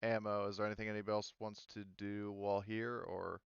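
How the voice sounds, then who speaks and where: Male game master, low-pitched and matter-of-fact, very dry lo-fi mic, casual tabletop chat. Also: little pitch movement, quick pace, vocal fry, smooth, no echo.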